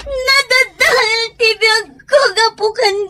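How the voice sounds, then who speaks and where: A woman's voice: very high-pitched, steady tone, slow pace, bright, forceful tone, full character voice. A woman playing a distressed, scorned young wife and mother in an emotional radio-style drama. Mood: furious, tearful.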